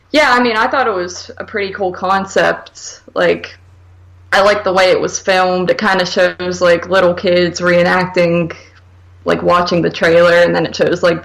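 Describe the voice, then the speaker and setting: A woman's voice: on the low side, gravelly, a bit bright, poor audio. A woman co-hosting a podcast, reviewing films in casual, informal chat full of hedges and filler words. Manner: informal, hedging, filler-heavy with frequent "like". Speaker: podcast co-host.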